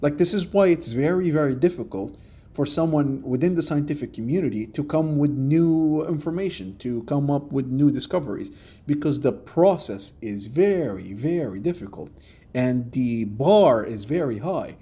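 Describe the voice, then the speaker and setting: A man giving a measured, explanatory commentary for a response video, recorded on a phone. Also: mid-range voice, lively intonation, dark tone, breathy edge.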